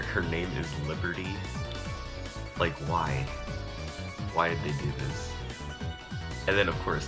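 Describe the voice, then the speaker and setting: A young man casually reviewing a movie, chatty and exasperated, with lots of fillers and short laughs. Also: very deep voice. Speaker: video reviewer.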